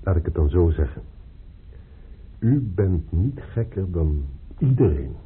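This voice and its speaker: A calm male counsellor in a radio drama, reassuring and measured. His voice is low and very hoarse-edged. The sound is narrow and tinny. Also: full character voice. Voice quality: husky. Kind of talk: radio play acting. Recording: muffled phone-like radio play.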